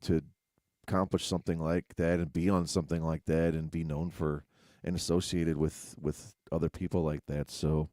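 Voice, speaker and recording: A man co-hosts a podcast in an easygoing, laid-back way. His voice is deep and mellow. The recording is close and dry. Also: little pitch movement, moderate pace, dry recording. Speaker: podcast co-host. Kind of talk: casual podcast chat.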